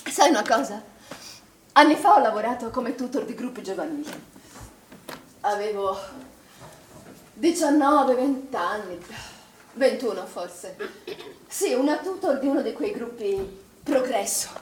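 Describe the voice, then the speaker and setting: An actress playing an anguished, doubt-ridden middle-aged woman in charge, speaking in an agitated, halting stage-drama style and repeating her phrases. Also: on the high side, lively intonation. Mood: nostalgic, uneasy. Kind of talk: stage drama acting, emotional monologue. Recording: roomy, distant theatre recording, faint noise.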